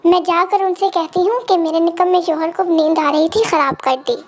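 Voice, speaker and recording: Woman voicing a bossy, very high-pitched lady crow. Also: fairly even intonation, normal speed. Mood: nagging, scornful. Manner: scolding, threatening. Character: bossy, nagging, sharp-tongued female crow neighbour. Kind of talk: children's moral story voice acting.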